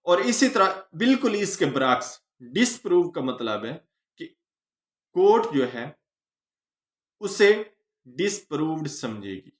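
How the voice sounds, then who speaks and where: Pakistani guy teaching law, pitch swings up and down, pauses between phrases, echoey room. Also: sing-song. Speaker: law lecturer. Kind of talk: explanatory legal lecture.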